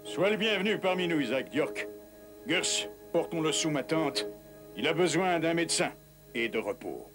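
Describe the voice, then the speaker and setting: Old Saxon lord for French cartoon male actor: stern yet fatherly, emphatic, lively and fairly high in pitch. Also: normal speed, over music, close to an everyday voice. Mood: welcoming.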